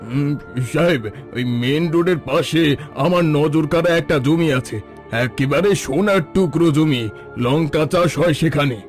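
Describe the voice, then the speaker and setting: A man's voice: a little high, moderate pace, close to an everyday voice. Sex: man. Figure: a man playing a greedy village headman. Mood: flattering. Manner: smooth-talking, scheming. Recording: soft background music.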